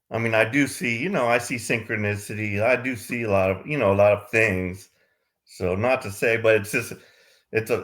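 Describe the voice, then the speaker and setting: A man asking questions in a spiritual Q&A, talking hesitantly and feeling for his words. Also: low-pitched. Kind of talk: casual conversation.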